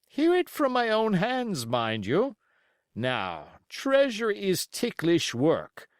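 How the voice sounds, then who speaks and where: A man's voice: sits high, huge pitch swings, slow, dry recording, studio quality, pitched above the performer's own voice, exaggerated voice. A man voicing a blunt, stern sea captain in an audiobook, speaking formally in clipped, emphatic phrases. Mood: blunt, disapproving. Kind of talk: audiobook character acting.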